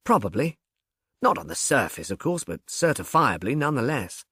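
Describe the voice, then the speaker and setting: Guy doing calm police detective, wordy explainer, matter of fact, dry studio-like sound. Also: on the high side, moderate pace, gravelly, breathy edge, no room sound, exaggerated voice. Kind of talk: audiobook character dialogue.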